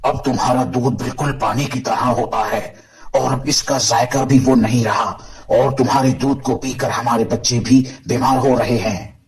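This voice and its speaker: A man's voice: medium pitch, steady tone, normal speed, distant mic, lightly acted, rough recording. An aggrieved villager, voiced by a man, lays out an earnest complaint in a mid-range voice. He sounds somewhat away from the microphone.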